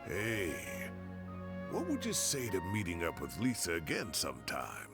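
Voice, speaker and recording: A video game mentor, an older professor with a low, creaky voice. His delivery swings widely, wry and theatrical as he grumbles fondly, with music playing clearly underneath. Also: wildly swooping pitch, normal speed. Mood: playful.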